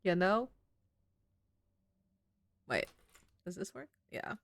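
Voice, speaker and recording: A woman giving expressive, sweary commentary as she plays a horror game, reading some of the text aloud. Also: on the low side, drawn-out words, vocal fry, dry recording. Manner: expressive, sweary, reacting out loud. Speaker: video game streamer.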